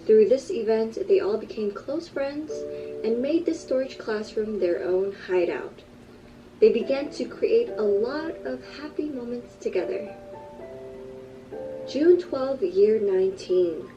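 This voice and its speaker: A woman's voice: a little high, expressive, a little slow. Woman narrating over music, distant and muffled. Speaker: video narrator. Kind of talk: scripted narration. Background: background music.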